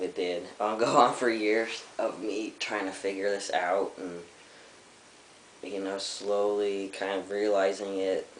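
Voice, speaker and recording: Low-voiced young man, candid and hesitant. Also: roomy sound, slight background noise, low-quality recording. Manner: candid, reflective, hesitant with many ums. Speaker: vlogger. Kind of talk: personal storytelling to camera.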